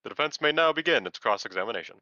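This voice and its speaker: Man playing an animated, pompous courtroom judge. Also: mid-range voice, very fast, highs cut off. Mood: solemn. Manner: theatrical, formal. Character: excitable, pompous courtroom judge in a video game. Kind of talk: amateur live game fandub. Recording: music behind the voice.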